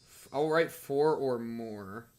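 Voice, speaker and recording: A man's voice: medium pitch, slow, darkish tone. A young man giving casual, slangy playthrough commentary on a visual novel and reading text aloud. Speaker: streamer playing a visual novel.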